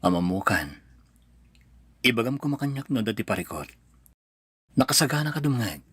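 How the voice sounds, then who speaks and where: A man's voice: medium pitch, very animated, moderate pace, fairly bright tone, slightly rough, dry recording, clean studio sound. A man playing an earnest, pained son and devoted husband in a radio drama, pleading, with an Ilocano accent. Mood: tender, worried.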